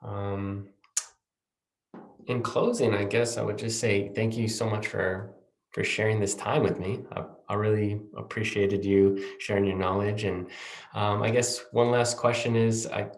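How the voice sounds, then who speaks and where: A man hosting a podcast interview, relaxed and agreeable, with lots of fillers. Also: fairly low pitch, a little slow. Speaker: podcast host. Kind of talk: casual interview. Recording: roomy echo.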